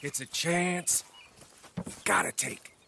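An actor playing an older American outlaw in a Western game, gravelly and persuasive as he reasons out schemes. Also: sits high, takes it slowly, pushed and bright, exaggerated voice. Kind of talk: game cutscene dialogue. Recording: game scene with background noise.

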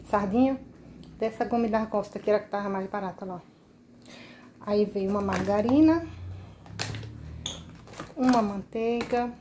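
Slow-talking woman listing groceries. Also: medium pitch, warm tone, slight background noise. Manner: slow, listing items one by one. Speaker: vlogger. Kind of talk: casual grocery haul showing. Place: kitchen at home, indoors.

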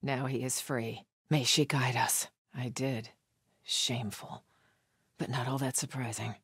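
An actress playing a stern, devout cult soldier in a video game, solemn, guarded and terse. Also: female voice, a bass voice, fairly even intonation, a little slow, fairly bright tone, breathy edge, no echo, clean studio sound, full character voice. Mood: solemn, watchful. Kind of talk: video game enemy dialogue.

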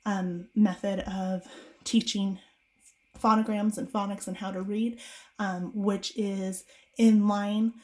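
Chatty homeschool mom getting over a cold. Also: female voice, medium pitch, level tone, slow, warm tone. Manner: chatty, rambling, many fillers and restarts. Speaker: homeschool mom reviewing curriculum. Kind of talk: casual video review. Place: home room with bookshelves.

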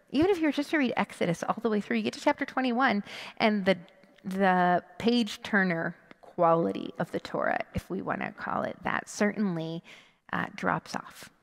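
A woman rabbi in her thirties delivers a sermon with a creaky edge to her mid-pitched voice. She is conversational and expressive, leaning on pauses and rhetorical questions. Her headset mic carries the long reverb of a large sanctuary. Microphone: headset microphone. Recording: long reverberant hall echo.

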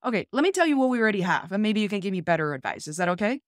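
A woman's voice: mid-range voice, big pitch swings, very fast, no room sound, studio quality. A woman around her forties hosting a conversational tech demo from a room at home. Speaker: YouTube host. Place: small home room, indoors.

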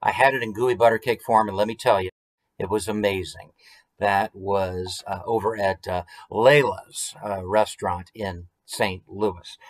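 A man in his forties with an American accent, hosting a story time in an expressive, conversational way with chatty asides. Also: lowish voice, unhurried, poor audio. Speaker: author reading his picture book. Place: small room at home, indoors.

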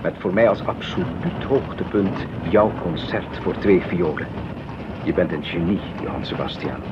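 An actor playing an effusive 18th-century prince in a Dutch radio drama, speaking emphatically in a muffled, noisy old recording. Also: male voice, deep voice, lively intonation, moderate pace. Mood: hearty, jovial. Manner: effusive, emphatic, repeats phrases.

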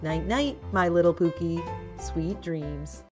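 A woman's voice: lowish voice, takes it slowly, a little mellow, soft background music. A woman voicing a doting, cheerful mother pig in a children's storybook, affectionate and singsong. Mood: tender.

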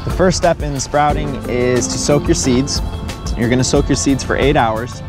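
A man's voice: mid-range voice, normal speed. Man giving instructions over music. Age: young adult. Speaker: vlog co-host. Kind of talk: casual step-by-step instruction. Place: outdoors in a park.

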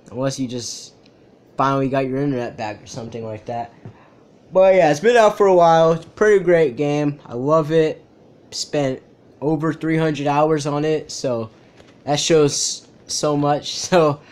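A man's voice: a little high, a little mellow. A young man giving casual, joking, slangy reaction commentary while watching a video at home. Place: small furnished room at home, indoors. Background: music from the video being watched.

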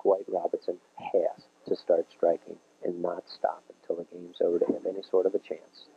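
A man's voice: deep voice, level tone, moderate pace, slight background noise. A man with a Midwestern American accent doing TV bowling commentary, folksy and explaining the game to viewers. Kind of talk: TV sports commentary. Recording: dull lo-fi 1980s TV broadcast.

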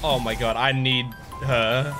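A man's voice: medium pitch, steady tone, takes it slowly. A man in his twenties chatting casually and enthusiastically as a YouTube reaction host, close to a desk microphone in a bedroom. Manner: enthusiastic, gushing, swearing. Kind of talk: casual excited reaction chat. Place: small bedroom at a computer desk. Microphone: desk boom microphone. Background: game trailer music.

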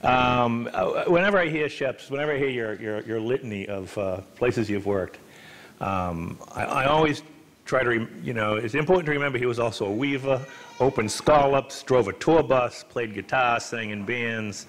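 An older man interviewing an author on stage, loud and chatty with joking asides. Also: medium pitch, normal speed. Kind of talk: onstage conversation. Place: stage of a hall with an audience. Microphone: handheld mic.

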